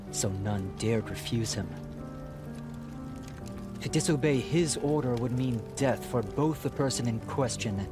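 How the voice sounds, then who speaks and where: An actor playing a solemn, measured man who recounts a war formally in a fantasy game. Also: medium pitch, lightly acted. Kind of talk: dramatic storytelling in a fantasy game cutscene.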